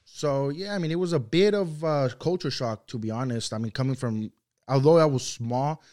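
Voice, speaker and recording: A man's voice: mid-range voice, moderate pace, a little mellow. A young man from Mexico whose first language is Spanish, a guest talking casually on a podcast with lots of "you know" and "like". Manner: loose, rambling, full of "you know" and "like". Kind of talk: casual conversational interview.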